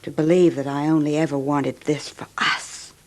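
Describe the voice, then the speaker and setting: Actress as languid, scheming unfaithful wife. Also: female voice, low-pitched, fairly even intonation, normal speed, natural voice. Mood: coaxing. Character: scheming unfaithful wife, intimate and world-weary. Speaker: radio drama actress. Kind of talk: 1970s radio drama acting.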